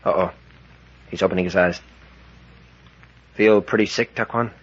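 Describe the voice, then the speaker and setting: A man playing a steady, authoritative captain and protective leader in a 1940s radio drama. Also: low-pitched, normal speed, breathy edge. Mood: firm, concerned. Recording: muffled vintage 1940s radio recording.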